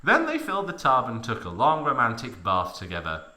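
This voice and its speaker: A man's voice: mid-range voice, wildly swooping pitch, a little fast, very noisy. A man giving a mock dramatic reading of fan fiction, with theatrical, exaggerated emphasis.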